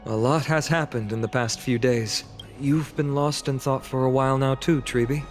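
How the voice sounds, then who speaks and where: An actor playing a kind, earnest young hero with a gentle, hesitant, polite manner in a video game. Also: male voice, mid-range voice, steady tone, normal speed, soft background music, lightly acted. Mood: gentle concern.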